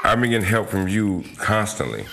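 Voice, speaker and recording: Very deep-voiced middle-aged man. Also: animated, brisk, gravelly, heavy background noise. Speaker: interview guest, father of young children. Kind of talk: plain personal account in an interview. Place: small TV studio living-room set. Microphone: lapel.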